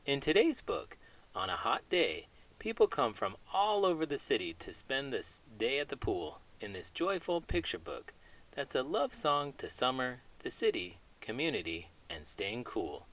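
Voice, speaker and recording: A man's voice: medium pitch, big pitch swings, normal speed, a bit bright. A middle-aged man hosting children's read-alouds, speaking expressively with dramatic, playful emphasis. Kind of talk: expressive storybook reading and scripted puppet banter.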